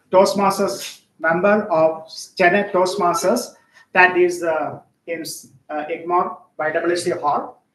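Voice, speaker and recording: A man from Kerala with a Malayali accent and Malayalam as his first language, introducing himself as a guest at a club meeting, pausing often as he searches for words. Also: sits high, fairly bright tone. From Central Kerala, India. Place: meeting hall. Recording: echoey hall, distant mic.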